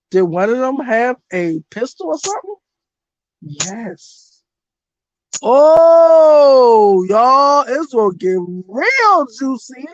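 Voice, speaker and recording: A woman running a casual livestream as a spiritual reader, blunt and profane, with a voice that is going hoarse. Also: a little high, no room sound, highs cut off. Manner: blunt, profane and animated, talks to her chat. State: losing her voice.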